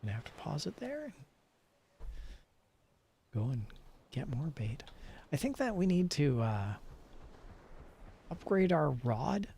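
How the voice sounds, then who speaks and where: A man's voice: on the high side, sing-song, slow, gravelly, long pauses, warm tone, slightly rough. A man streaming a game, switching between playful chatter and theatrical story read-aloud.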